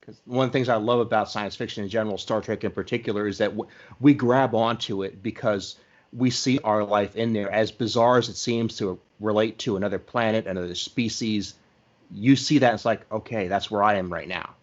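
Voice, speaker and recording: A man's voice: lowish voice, fairly even intonation, fairly quick. A man hosting a podcast, chatting casually in an earnest, reflective way. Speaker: podcast host. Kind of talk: casual conversational interview. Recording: dull, lo-fi voice recording.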